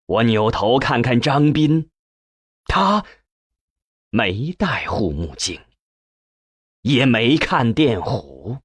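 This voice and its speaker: Guy reading a sci-fi audiobook, slow n expressive, raspy-ish husky voice. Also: mid-range voice, no room sound. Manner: measured, expressive storytelling. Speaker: audiobook narrator. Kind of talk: scripted narration of a science fiction novel.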